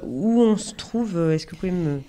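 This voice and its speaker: A woman interviewer with a lowish, mellow voice. She puts polite, curious questions to her guest. Her tone stays soft and relaxed. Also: moderate pace.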